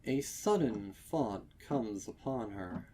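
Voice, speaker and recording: A man narrating a horror story from a script, dramatically, with deliberate pauses and ominous emphasis. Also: on the low side, expressive, mellow, slight background noise. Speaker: fan fiction narrator.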